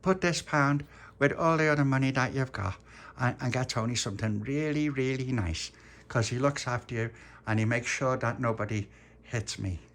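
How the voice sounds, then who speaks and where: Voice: mid-range voice, normal speed, fairly bright tone, voice pushed up, lightly acted. A storyteller voicing an earnest, sweetly self-important little girl.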